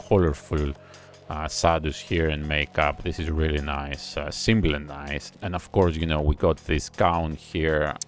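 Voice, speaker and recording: A man giving a casual reaction and analysis of a music video. He explains things step by step in an unhurried way, with many pauses. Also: rumbling low.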